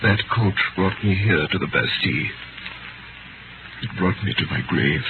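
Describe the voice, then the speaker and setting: Low-voiced anguished prisoner, scratchy old radio. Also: male voice. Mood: grave. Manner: grave, deliberate, dramatic. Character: imprisoned French doctor, anguished, bitter, solemn, writing his testament. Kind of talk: 1930s radio drama acting, dramatic narration. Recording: scratchy, tinny 1938 radio broadcast, loud noise.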